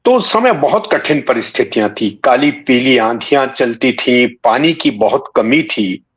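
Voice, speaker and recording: A man in his sixties from Bikaner in Rajasthan, reminiscing in an interview with halting, deliberate phrasing. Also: medium pitch, expressive. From Bikaner, Rajasthan, India. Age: 60s. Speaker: interview guest, writer and satirist. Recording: dull, muffled lo-fi audio.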